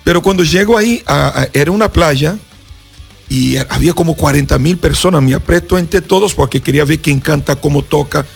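A man's voice: on the high side, a little fast, creaky, slightly rough, soft background music. A Brazilian man, a pastor and native Portuguese speaker, giving an earnest personal testimony in Spanish as a guest on a radio interview. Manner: earnest storyteller, acts out voices in his story.

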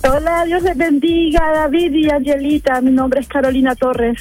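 Female radio caller on a cellphone, high pitch, affectionate casual chat over a light music bed. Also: steady tone, moderate pace, a little mellow. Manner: gushing, affectionate. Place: in a car, driving. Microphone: cellphone call.

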